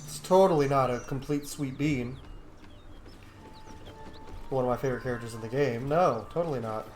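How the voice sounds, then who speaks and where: A man's voice: mid-range voice, moderate pace, a little mellow, roomy sound, soft background music. A man giving casual, playful commentary on a game playthrough, often muttering to himself. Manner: offhand, playful, talking to self. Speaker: gaming video host.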